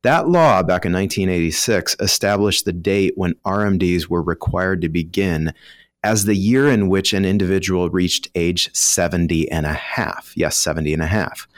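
A man's voice: sits low, normal speed, dry recording. A man with an American accent, a financial professional explaining rules in an informal, conversational way as a guest expert. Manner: explanatory, informal, voices hypothetical first-person examples.

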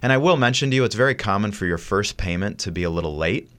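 A man's voice: fairly low pitch, expressive, fast talker, fairly bright tone. A man co-hosting a call-in radio show, patiently and confidently explaining an answer to a caller. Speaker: radio show co-host, financial adviser.

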